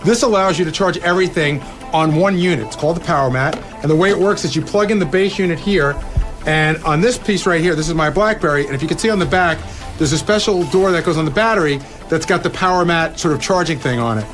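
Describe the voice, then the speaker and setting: Higher-pitched man demonstrating gadgets. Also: quick pace, fairly bright tone. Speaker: presenter of gadget segment. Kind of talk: casual product demonstration. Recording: faint music under the voice.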